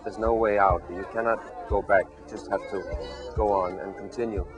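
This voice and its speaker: A Danish man who speaks English as a second language, a marathon runner talking outdoors in a halting way with many restarts. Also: on the low side, fairly even intonation, loud music behind the voice. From Denmark. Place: outdoors at a race.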